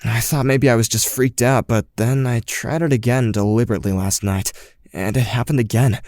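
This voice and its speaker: A man acts an anxious teenage boy in a sci-fi audio drama, skeptical and a little wry. His voice sits at an ordinary male pitch and speaks hesitantly. The sound is dry and close. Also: steady tone, a little fast, a little mellow, dry recording, close to an everyday voice. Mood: hesitant, confiding. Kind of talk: audio drama dialogue and first-person narration.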